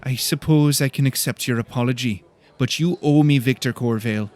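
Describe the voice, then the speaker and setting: A man's voice: mid-range voice, a little fast, a little mellow, close to an everyday voice. A man voicing a proud, prickly young female student who speaks in a short, huffy way in an audiobook. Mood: grudging. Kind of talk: audiobook character dialogue.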